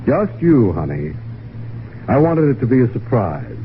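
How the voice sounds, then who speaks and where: An actor playing a bitter, suspicious husband in an old-time radio mystery, with clipped, sardonic tough talk. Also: male voice, medium pitch, sing-song, a little slow. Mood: guarded. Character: bitter, wry returned husband, hard-boiled and suspicious. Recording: tinny, noisy vintage radio recording.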